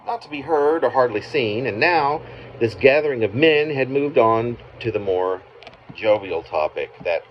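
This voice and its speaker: A man reading his own narrative poem aloud as its author, in halting phrases with pauses mid-sentence. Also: medium pitch, expressive. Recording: muffled, noisy amateur home recording.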